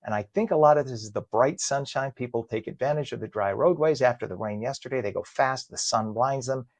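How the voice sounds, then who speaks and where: A man's voice: mid-range voice, brisk, no room sound. A man, hosting a live morning show from a home studio, talking through traffic and introducing a guest in a chatty, conversational way. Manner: chatty and conversational, frequent fillers.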